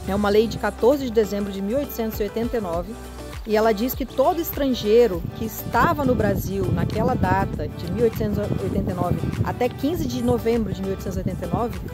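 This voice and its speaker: A woman's voice: on the high side, fairly quick, music in the background. A Brazilian woman with a Brazilian Portuguese accent, hosting a casual vlog and explaining things step by step, often restarting her words. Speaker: vlogger.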